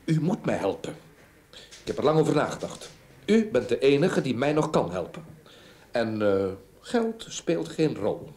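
A man's voice: mid-range voice, big pitch swings, measured pace, a little mellow. A man playing a wealthy older shipping magnate in a radio drama, formal and hesitant yet pressing. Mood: urgent, uneasy. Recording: faintly noisy old radio recording.

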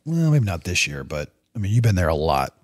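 A man hosting a casual family podcast with his kids, his voice going hoarse as he loses it. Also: low voice, big pitch swings, fairly quick, no echo, studio quality. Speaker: podcast host and dad.

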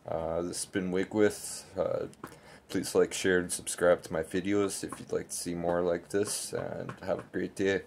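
A man's voice: sits low, flat delivery, measured pace, creaky, slight background noise. A man in his thirties giving casual, critical political commentary to camera from a small bedroom, talking loosely with lots of fillers. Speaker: political vlogger.

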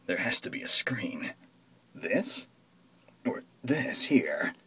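A man voicing an excitable, panicky young man fumbling inside a war robot in a hammy comic-book read-aloud, melodramatically muttering and exclaiming. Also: on the low side, slow pace, a little hiss or hum, heavily acted. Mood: tense muttering.

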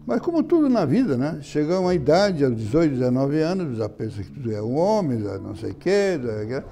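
An older man answering interview questions in a halting way, often repeating his words and chuckling. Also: fairly high pitch, sing-song, vocal fry, mellow. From Brazil. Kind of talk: reminiscing interview talk. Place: indoor room beside an altar.

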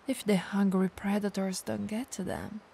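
A woman playing a teasing, dominant but caring vampire in an ASMR audio roleplay, breathy and gravelly, often dropping to whispers, coaxing and playfully condescending. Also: mid-range voice, sing-song, normal speed, mellow, slight background noise. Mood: musing.

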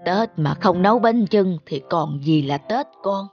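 Gentle, nostalgic old grandmother. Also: on the low side, expressive, unhurried, slightly rough, muffled recording, soft background music, full character voice. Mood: earnest. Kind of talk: animated cartoon dialogue.

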